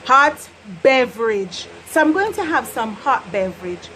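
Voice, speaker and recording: A young woman from Jamaica with a Jamaican accent, teaching patois in an animated, laughing casual vlog. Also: fairly high pitch, a little slow, slight background noise, poor audio. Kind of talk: casual chatty lesson.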